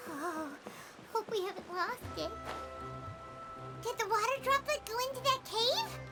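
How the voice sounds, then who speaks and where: A woman voicing a tiny, excitable, easily frightened game mascot with a squeaky, childlike and dramatic delivery. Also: unhurried, poor audio. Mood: curious. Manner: refers to self in third person, dramatic. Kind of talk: video game cutscene acting. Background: game music.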